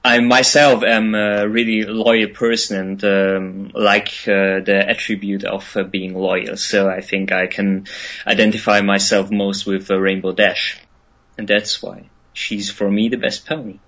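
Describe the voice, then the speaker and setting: Young German man, slow deliberate pace, lowish voice, hesitant with many fillers, dull lo-fi sound. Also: little pitch movement, a bit bright. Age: 20s. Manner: hesitant, many ums and uhs, laughs often. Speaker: interview guest, musician. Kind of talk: casual interview answers. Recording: lo-fi online radio call.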